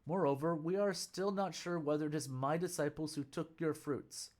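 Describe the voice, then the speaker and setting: A man's voice: a little high, fairly even intonation, moderate pace, darkish tone, natural voice. A man voicing an old Buddhist monk master who is fussy and easily frightened, speaking in an indignant, pleading way. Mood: placating.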